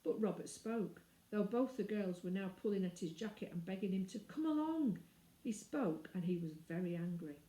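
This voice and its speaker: A woman narrates a children's book. Her voice is soft-edged and mellow, her reading expressive. The room adds noticeable echo. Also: medium pitch, normal speed. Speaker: storyteller.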